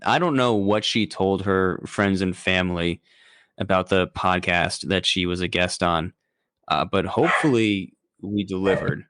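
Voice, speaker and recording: A man co-hosting a podcast who talks in a reflective, halting way. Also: low voice, fairly bright tone, no echo. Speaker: podcast co-host. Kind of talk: casual chat.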